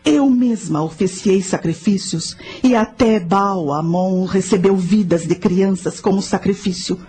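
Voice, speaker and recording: An actress playing a knowing spirit guide, telling ancient history and teaching in a measured, solemn way in a radio drama. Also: female voice, lowish voice, a little mellow, close to an everyday voice. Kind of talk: scripted radio drama narration.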